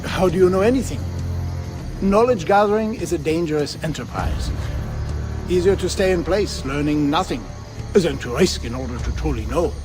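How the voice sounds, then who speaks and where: An earnest Renaissance scholar played by a man for a video game, high for a male voice and very animated. He reasons aloud in formal, philosophical phrases, with faint music behind him. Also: moderate pace, natural voice.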